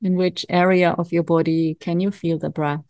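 A woman leading a guided meditation as a coach, giving calm, soothing instructions with long pauses. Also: fairly low pitch, no room sound.

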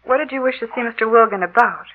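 An actress playing a young office receptionist, polite and easily frightened, with formal, theatrical delivery in an old radio drama. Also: female voice, a little high, quick pace, close to an everyday voice. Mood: polite, businesslike. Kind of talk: 1940s radio drama acting. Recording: noisy, tinny old radio recording.

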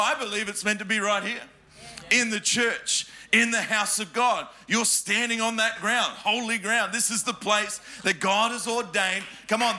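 An Australian man preaching to a church congregation. His voice sits high and rings very bright. He throws questions at the crowd and mixes jokes into his stories. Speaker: pastor. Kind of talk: sermon with personal stories. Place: church hall before a congregation.

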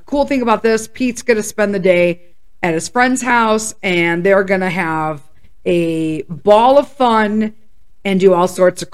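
A woman in her fifties from Chicago, hosting a casual solo podcast chat. She is slightly hoarse and congested, and she talks candidly with bursts of excited whoops. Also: medium pitch. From Chicago, USA. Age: 50s. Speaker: podcast host.

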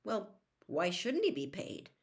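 A woman's voice: mid-range voice, huge pitch swings, takes it slowly, heavily acted. A woman playing a curious young boy in an audiobook, plain-spoken and questioning. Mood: curious, challenging. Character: curious, frank young boy in a fantasy story.

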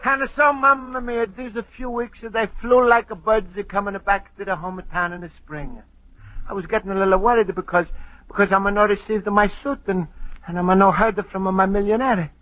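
A man doing an excitable Italian immigrant. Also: high-pitched, brisk, close to an everyday voice. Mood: wistful. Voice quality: broken English, stammering. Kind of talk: old-time radio comedy acting. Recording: lo-fi old radio recording.

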